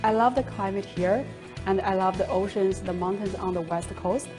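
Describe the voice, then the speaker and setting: A woman's voice: mid-range voice, normal speed. Enthusiastic young woman graduate, music under. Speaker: college graduate. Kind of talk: video testimonial. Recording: music bed behind voice.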